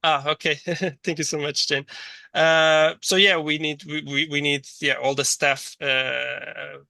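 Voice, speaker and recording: Bright, dry-voiced man lecturing, thinking aloud. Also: fairly high pitch, little pitch movement, takes it slowly, vocal fry, no echo. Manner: hesitant, thinking aloud with repeated words. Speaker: course lecturer. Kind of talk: interactive online teaching, reading chat replies.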